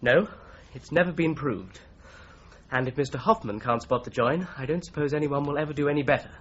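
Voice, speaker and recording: An actor playing a shrewd, methodical solicitor in a 1980s radio drama, with an English accent and a precise, measured, probing manner. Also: male voice, on the high side, a bit bright, a little hiss or hum, close to an everyday voice. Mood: firm, pressing. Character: shrewd, methodical male solicitor turned amateur investigator. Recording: slightly dull 1980s radio recording.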